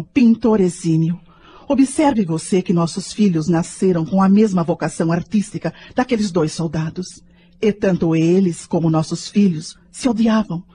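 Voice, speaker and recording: An actress playing an earnest middle-aged mother in a radio drama, explaining things with conviction in a husky, dark voice. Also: lowish voice, a little hiss or hum, close to an everyday voice.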